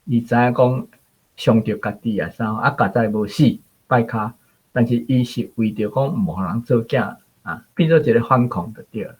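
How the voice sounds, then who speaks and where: Male literary commentator: Taiwanese accent, husky mid-pitched voice, conversational explaining, slightly dull recording. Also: normal speed.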